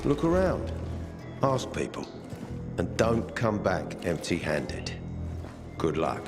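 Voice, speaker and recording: Game casting: a curt authority figure, low and unhurried, commanding, voice ringing in a big reverb. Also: male voice, very animated, over music, heavily acted. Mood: stern. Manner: terse, commanding. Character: stern commanding man giving terse orders. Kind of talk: video game cutscene acting. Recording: long echoing hall reverb.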